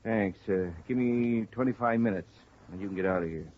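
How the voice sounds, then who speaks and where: A man's voice: on the low side, fairly quick, lightly acted. A man with an American accent playing a tough, threatening gunman in a 1950s radio drama, curt and commanding. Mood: coolly commanding. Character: tough, menacing male gunman in charge. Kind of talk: 1950s radio crime acting. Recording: muffled, noisy old radio broadcast.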